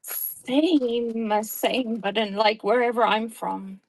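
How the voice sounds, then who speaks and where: A woman's voice: fairly high pitch, steady tone, slow pace, slight background noise, poor audio. A woman with a South African accent in casual chat, earnestly explaining things at length. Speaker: guest.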